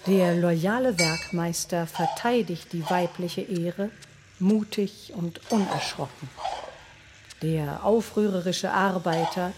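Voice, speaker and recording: A woman's voice: on the low side, slow pace, background noise. A woman narrating scripted scenes in clipped, declarative phrases. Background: music.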